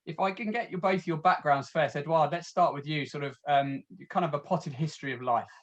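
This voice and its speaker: A man's voice: on the high side, brisk. Chatty man hosting a webinar. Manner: chatty, loose and friendly. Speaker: host. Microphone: video call. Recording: dull lo-fi video call audio.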